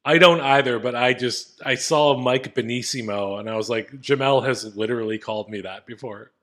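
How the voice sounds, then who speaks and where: A man with a deep voice co-hosts a casual comedy podcast. He talks in a laid-back way and reads listener reviews aloud. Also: moderate pace, fairly bright tone. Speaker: podcast co-host.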